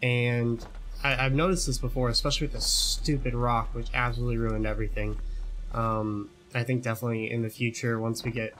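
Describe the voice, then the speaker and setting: A man's voice: mid-range voice, level tone, a little fast, fairly bright tone, a little hiss or hum. Chatty young man explaining a game. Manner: chatty, repeats words, says "right?" often. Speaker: gaming YouTuber. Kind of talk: casual tutorial commentary over gameplay.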